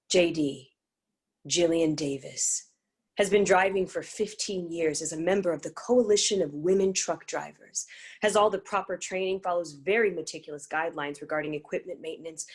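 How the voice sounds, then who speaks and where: Woman narrator for a play reading, low pitched, deliberate phrasing. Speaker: narrator reading stage directions. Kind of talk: scripted dramatic narration.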